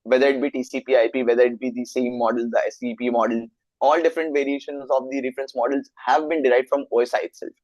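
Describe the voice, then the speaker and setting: A man's voice: medium pitch, little pitch movement, fast talker, darkish tone, no room sound, highs cut off. A young man with an Indian accent, Hindi his first language, lecturing a live online networking class and checking in with students often. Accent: Indian English. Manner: explanatory, asks students frequent check questions. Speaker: networking instructor.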